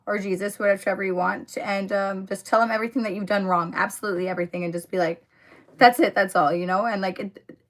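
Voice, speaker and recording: A young woman with a voice hoarse from a cold, chatting casually with viewers during a livestream and filling her talk with "like" and "um". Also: mid-range voice, fairly even intonation, fast. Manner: chatty, filler-heavy, talks to viewers in the comments. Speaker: livestream host. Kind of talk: casual livestream chat while doing makeup.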